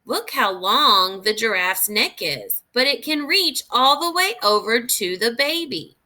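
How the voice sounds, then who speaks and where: Woman, slightly high bright voice, unhurried pace, expressive teacher's read-aloud for young children, instructive. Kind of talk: read-aloud lesson with think-aloud questions.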